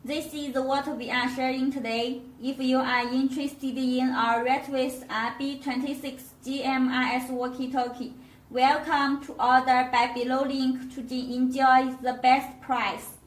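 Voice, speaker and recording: A woman giving a step-by-step product tutorial as a demonstrator, with halting non-native phrasing. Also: high-pitched, flat delivery. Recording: roomy echo, distant microphone.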